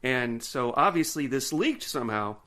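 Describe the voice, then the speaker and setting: Wry, bright-voiced male podcast co-host. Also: mid-range voice, animated, moderate pace. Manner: wry, rambling, dry jokes. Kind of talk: casual chat about a TV episode.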